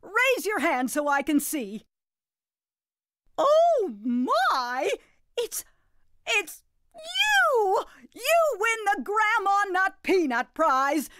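A woman playing a jolly, doting grandmother who hosts an animated children's game in a gushing, sing-song way. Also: extremely high pitch, drawn-out words, heavy background noise, full character voice. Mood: excited, congratulating.

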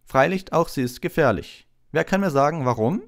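Guy doing a brisk witch teacher lady in an audiobook, really high voice, telling the class what to do. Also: animated. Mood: brisk, instructive. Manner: instructive, brisk. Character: brisk, practical female herbology professor teaching children. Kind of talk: audiobook character voice.

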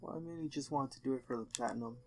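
A man chatting casually over gameplay in a low-key, somewhat hesitant way, as a co-host watching his friend play. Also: racing.

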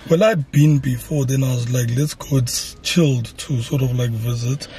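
A man's voice: mid-range voice, moderate pace, mellow. A man in his thirties with a South African accent, co-hosting a vlog with laid-back casual chat inside a car. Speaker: vlog co-host. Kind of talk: casual chat to camera with code-switching. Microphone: camera at dashboard distance.

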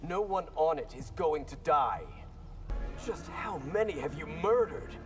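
An actor playing a stern, accusing ninja protector in a video game, earnest and firm. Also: male voice, a little high, expressive, unhurried, music in the background. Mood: resolute. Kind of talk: video game fighter dialogue.

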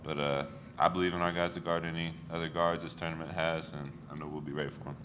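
A young college basketball player gives short, understated answers into a table mic. His voice is very deep and nearly monotone. Room noise stays steady under him. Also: fast. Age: young adult. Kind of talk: press conference answers. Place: press conference room with backdrop.

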